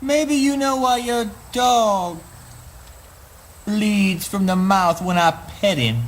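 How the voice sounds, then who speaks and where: An actor playing an eccentric, childlike, morbid son in a spoken word play, in a singsong, drawn-out, taunting manner. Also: male voice, high-pitched, animated, exaggerated voice. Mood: taunting, accusing.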